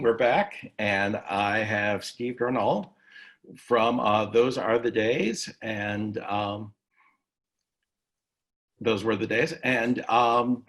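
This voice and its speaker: A man hosts a casual interview in an everyday mid-range voice. His phrasing is halting, with restarts as he works his way toward each question. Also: mid-range voice. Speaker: host. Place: recorded virtual session.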